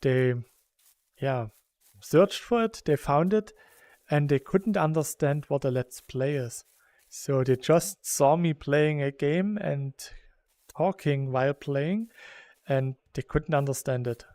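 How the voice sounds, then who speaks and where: A man's voice: fairly high pitch, slow, a little mellow, no echo. A man from Saxony, Germany, with a German accent, chatting casually and rambling while playing a game, laughing between thoughts. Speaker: gaming YouTuber.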